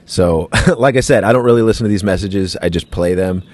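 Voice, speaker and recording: A man's voice: deep voice, brisk, a little mellow. A man hosting his own podcast, talking in a loose, rambling, self-mocking way. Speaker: podcast host. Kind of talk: casual podcast chat.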